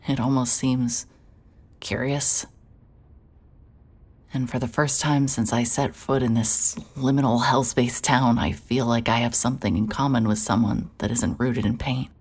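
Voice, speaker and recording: A woman playing a weary, introspective private investigator in a horror audio drama, guarded and quiet in manner. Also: a bass voice, steady tone, measured pace, breathy edge, exaggerated voice. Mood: brooding, wistful. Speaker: narrator and lead character. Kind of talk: scripted first-person noir horror audio drama narration.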